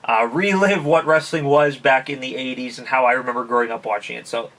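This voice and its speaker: A man's voice: medium pitch, a little fast, a bit bright. Chatty man, distant from the mic. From Canada. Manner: chatty, many fillers, laughs often. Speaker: YouTube channel host. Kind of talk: casual review vlog.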